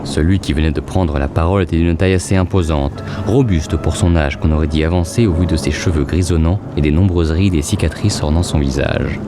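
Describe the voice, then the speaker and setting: A man's voice: a bass voice, fairly quick, few pauses, over music. A man narrating a scripted fantasy audio drama in a literary, ominous storytelling manner.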